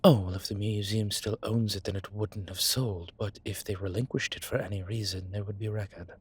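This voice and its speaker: A man as a low, husky, suave vampire. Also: fairly even intonation. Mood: fond, persuasive. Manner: measured, wry, formal wording. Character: suave, sentimental male vampire, tired and scheming. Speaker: voice actor in an audio roleplay. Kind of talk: scripted intimate audio roleplay, one side of a conversation.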